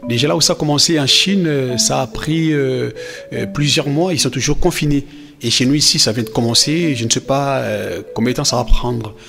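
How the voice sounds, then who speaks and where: A man's voice: mid-range voice, fairly quick, creaky, a bit bright, soft background music. A man playing a reassuring father in a cartoon, explaining things patiently and a little hesitantly to his children. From Democratic Republic of the Congo. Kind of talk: animated film dialogue, semi-improvised explanation.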